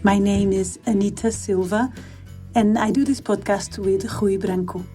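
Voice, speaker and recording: A woman from Portugal, hosting a podcast interview over a remote call, friendly and quick to laugh. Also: medium pitch, fairly even intonation, faint music behind. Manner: friendly, laughs often, warm welcomes. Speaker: podcast host. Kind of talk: scripted intro then casual interview.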